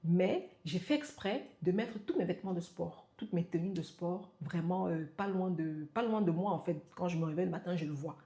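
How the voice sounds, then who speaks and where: A woman's voice: fairly low pitch, normal speed, few pauses, dark tone, roomy sound. A woman in her forties giving self-help advice to camera, insistent, repeating her points and speaking straight to the listener. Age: 40s. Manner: insistent, repeating for emphasis, addresses viewer as tu. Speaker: motivational coach.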